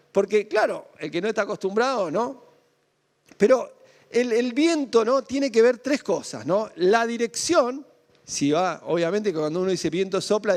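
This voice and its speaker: An Argentine man with a Rioplatense accent preaches to his congregation in a loose, conversational way, stopping often to ask questions. His voice sits high for a man. Long reverb trails after his words, as if he is in a large hall. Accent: Argentine Spanish (Rioplatense). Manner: conversational, rhetorical questions, frequent "¿no?" tag. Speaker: pastor. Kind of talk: sermon.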